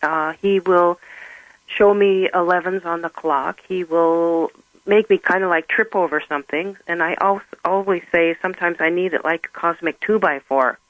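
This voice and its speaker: A middle-aged woman whose first language is German, speaking earnestly and haltingly as an interview guest. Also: fairly low pitch, no room sound, phone-quality sound. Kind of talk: personal testimony in conversation.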